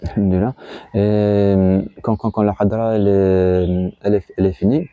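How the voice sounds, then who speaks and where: A man giving an informal spiritual teaching talk as a disciple, speaking haltingly and often repeating his words. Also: on the low side, level tone, clear, resonant tone, dry recording. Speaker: Sufi disciple giving guidance. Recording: dull lo-fi recording.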